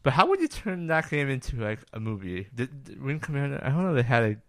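Male co-host, animated mid-range voice, casual reactive banter, dry close recording. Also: mid-range voice, fast, no room sound. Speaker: podcast co-host. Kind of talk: casual banter about video game movies.

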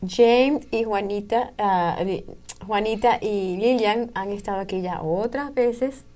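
A woman's voice: medium pitch, slow pace, warm tone. A woman hosting a community radio talk show, laughing often as she asks her guests questions. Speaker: radio host. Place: radio studio.

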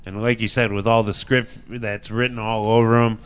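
A man's voice: on the low side, little pitch movement, moderate pace, clean tone. A man hosting a casual wrestling podcast, joking, swearing and rambling loosely. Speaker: podcast host. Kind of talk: casual chat.

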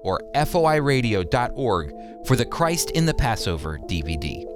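A man hosting a radio Bible program, teaching and reading scripture in an emphatic, deliberate way with rhetorical questions. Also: mid-range voice, sing-song, over loud music.

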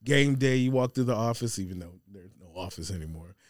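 A man's voice: lowish voice, lively intonation, a little fast, no echo, clean studio sound. A man speaking casually as a panelist on a sports podcast. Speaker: podcast panelist giving football picks. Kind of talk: casual chat.

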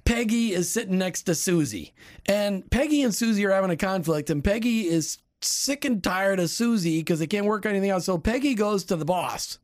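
Man co-host, animated delivery, voice leaps upward on key words, casual. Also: moderate pace. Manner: animated, pitch jumps up for emphasis, builds examples. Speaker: radio co-host. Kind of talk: conversational talk show on marriage.

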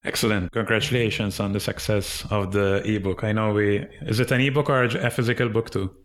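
Low-voiced male podcast host. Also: steady tone, brisk. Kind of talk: casual interview questions.

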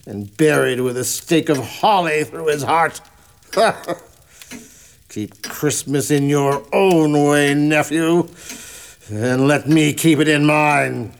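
Bitter, creaky old miser. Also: male voice, fairly high pitch, slow, pauses often. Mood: scornful. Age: older. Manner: barking, sneering, contemptuous. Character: bitter, miserly old man, scornful and grouchy. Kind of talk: radio drama acting.

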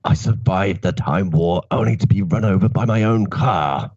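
A weary, grim old soldier, as a man puts him on, gruff and clipped. The voice is low and dark. It sounds dry and dull. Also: normal speed, no echo.

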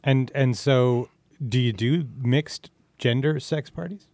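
Male podcast host, mid-pitched, informal and jokey interviewer, close and dry sound. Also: slow, a little mellow, no room sound. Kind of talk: casual joking interview chat.

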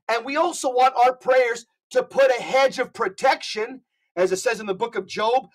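A man speaks as a podcast guest, firm and preachy. He stresses his points and slows right down when he spells out details. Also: very high voice, fairly bright tone, rough recording. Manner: preachy and emphatic, spells things out slowly. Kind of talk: podcast conversation.

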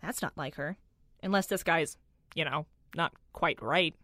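An actor playing a blunt, exasperated coworker with deadpan replies. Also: male voice, on the high side, measured pace, no room sound, clean studio sound. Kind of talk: audio drama dialogue.

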